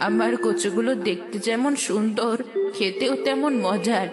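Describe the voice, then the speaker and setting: A woman's voice: on the high side, normal speed, in a large hall, crowd noise, natural voice. Woman as a grumbling old village granny. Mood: proud, dismissive. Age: older. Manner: grumbling, self-reliant. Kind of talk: children's cartoon dubbing. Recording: music behind the voice.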